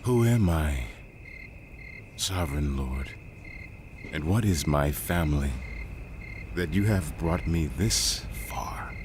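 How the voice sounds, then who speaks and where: A man's voice: a bass voice, takes it slowly, long pauses, noisy background. A man playing a devout, humble king in a dramatized scripture reading, earnest and reverent. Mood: humble awe.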